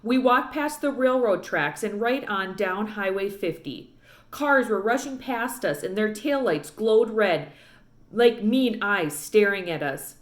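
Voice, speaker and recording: A woman's voice: medium pitch, expressive, a little slow. A woman narrating a children's book aloud expressively, recorded with roomy echo.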